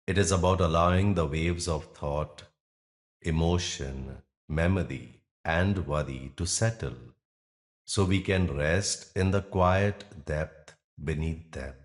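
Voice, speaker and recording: Man, low-pitched, very slow pace, soothing meditation guide, instructional, pauses between phrases. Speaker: yoga nidra meditation guide.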